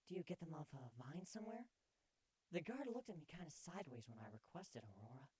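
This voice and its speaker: A man's voice: on the high side, big pitch swings, brisk, darkish tone, slightly rough, pitched above the performer's own voice. A man voicing a playful, teasing female pony with blunt wit in a fan fiction reading. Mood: curious.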